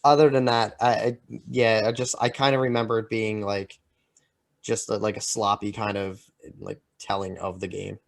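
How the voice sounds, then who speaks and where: A man chatting casually as a podcast panelist, halting and circling back on his sentences. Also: fairly low pitch, little pitch movement, vocal fry. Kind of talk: casual opinion chat.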